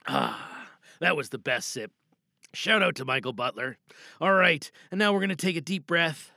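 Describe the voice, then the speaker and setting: A man's voice: on the high side, lively intonation, normal speed, gravelly, sharp tone, no room sound, clean studio sound. A man hosting a podcast in a casual, off-the-cuff way, rambling and often interrupting himself. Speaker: podcast host. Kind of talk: casual off-the-cuff hosted introduction. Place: small dry booth.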